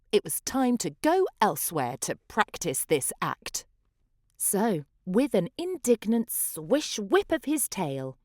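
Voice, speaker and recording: A woman reading a rhyming picture book aloud with expressive, theatrical rhythm. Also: lowish voice, no echo, studio quality. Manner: theatrical, rhythmic delivery of rhyme. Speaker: children's story reader.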